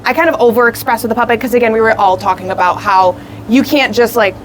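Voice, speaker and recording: A young woman who is a puppeteer and actor, answering interview questions in an expressive, rambling way with lots of fillers. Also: fairly high pitch, quick pace, slight background noise, low-quality recording. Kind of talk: casual interview.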